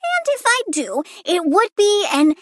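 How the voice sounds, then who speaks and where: Game casting: menacingly cheerful plant-powered villain girl, very high voice, swinging delivery, blunt and bratty. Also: sing-song, dry recording, clean studio sound, exaggerated voice. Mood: gleefully menacing. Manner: childlike, blunt, playful, quick-tempered. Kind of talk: video game character voice lines.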